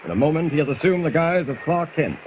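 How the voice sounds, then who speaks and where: A man's voice: fairly high pitch, expressive, fast talker, phone-quality sound. A man narrating an adventure serial as a 1940s radio announcer, urgent, grandiose and theatrical. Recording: vintage radio recording, faint noise.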